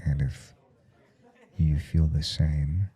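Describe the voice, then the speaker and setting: A man playing a blunt, intimate professor in an ASMR audio roleplay, speaking in a halting, confiding way with a teasing, domineering edge. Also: steady tone, exaggerated voice. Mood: earnest, vulnerable. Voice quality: low, gravelly, soft and dark. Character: adult male professor, intimate, callous, earnest, teasingly domineering.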